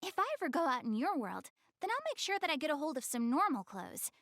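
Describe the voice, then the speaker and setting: A woman's voice: sits high, sing-song, fast talker, a bit bright, no echo, studio quality, heavily acted. A young woman playing a proud, easily flustered, cute anime goddess girl in a video game, speaking bashfully and defensively to the player. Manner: bashful, defensive, stammering when embarrassed.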